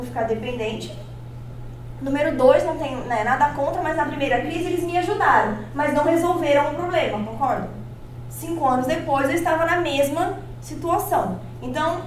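A Brazilian woman with a bright, high voice, talking to a live audience into a handheld mic. Her speech has a Brazilian Portuguese accent, and she keeps checking in with the listeners as she tells her story. The sound rings out through a large, echoey hall and is picked up from well back. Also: recorded from a distance, a little hiss or hum. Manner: expressive, addresses the audience with frequent tag questions. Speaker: motivational speaker. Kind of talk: personal-story keynote talk. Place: large auditorium on stage. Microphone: handheld mic, filmed by far camera.

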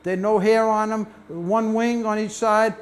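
An older man with a high voice leads a library talk on beekeeping, heard from a camera out in the room. He tells stories with lively energy, jumping up in pitch as he acts out what people said. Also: a little slow. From United States. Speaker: beekeeping presenter. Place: library meeting room, mid-sized, indoors.

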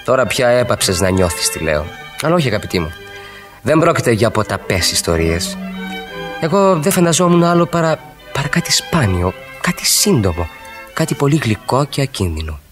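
An actor in a Greek radio play, playing an ardent, poetic and evasive would-be lover with a theatrical, wooing manner. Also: male voice, mid-range voice, big pitch swings, music in the background, close to an everyday voice. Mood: poetic, wistful.

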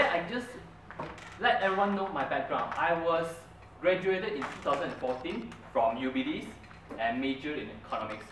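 Young man telling a story in an echoey room. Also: on the high side. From Brunei. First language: Mandarin. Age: young adult. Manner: earnest storytelling, with an animated, emphatic delivery. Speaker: club speaker. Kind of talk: prepared speech. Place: meeting room, medium size, indoors. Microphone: far camera. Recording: roomy, distant, noisy room recording.

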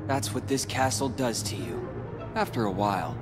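A man's voice: on the low side, animated, music in the background, natural voice. A man playing a cold, brooding, taunting teenage boy rival in a video game cutscene. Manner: cool, taunting, deliberate.

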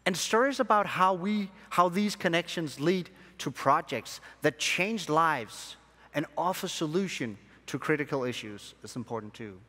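A young man, born in Sri Lanka and raised in Denmark, giving a keynote speech to a convention audience from a large hall stage with measured, emphatic phrasing. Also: on the high side, expressive, pushed and bright. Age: young adult. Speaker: conference speaker. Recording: long hall reverb.

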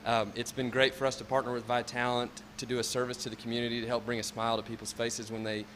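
A man, a local business owner answering interview questions in a mall space, friendly but halting. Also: mid-range voice, level tone, fairly bright tone, slight background noise. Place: large indoor mall space.

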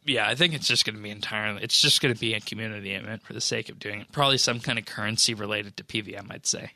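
Gravelly, bright-toned man co-hosting. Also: lowish voice, no echo. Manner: measured, hesitant, drawn-out phrasing. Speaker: podcast co-host. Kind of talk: casual gaming news chat.

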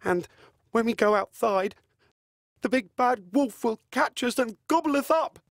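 A woman acts out a young little pig from a children's fairy tale. The voice is lifted and animated, childlike and plucky, with emphatic delivery. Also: fairly high pitch, a little slow, no room sound, clean studio sound, pitched above the performer's own voice. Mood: frightened.

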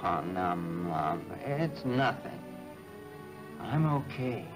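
An actor playing a nervous, homesick young American soldier in a 1940s film, halting and gentle, with an American accent. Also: male voice, sits low, very animated, exaggerated voice. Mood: tender, reassuring. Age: young adult. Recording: old film soundtrack with music.

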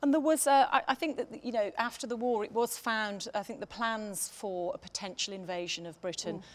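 Middle aged woman hosting a panel, medium pitch, bit halting and tentative. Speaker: panel chair. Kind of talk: moderating a panel discussion. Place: theatre stage, seated panel.

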